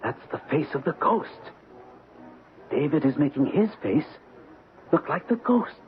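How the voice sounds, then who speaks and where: An actor playing a skeptical, uneasy husband in an old radio mystery drama. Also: male voice, a little high, very animated, unhurried. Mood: alarmed. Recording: noisy, phone-like old radio broadcast recording.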